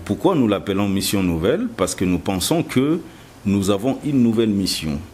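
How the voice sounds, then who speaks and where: A man's voice: fairly low pitch, very animated, normal speed, darkish tone. A man with a Congolese accent answering as a political candidate in an interview, assertive and emphatic. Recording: clear background noise.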